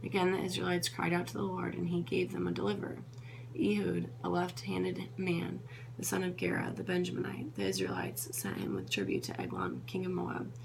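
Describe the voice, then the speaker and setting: Woman, scripture reader, heavy vocal fry, trips on names and restarts, noisy hum behind. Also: on the low side, brisk. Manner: stumbles over names and corrects herself. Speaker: Bible reader. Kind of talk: reading scripture aloud.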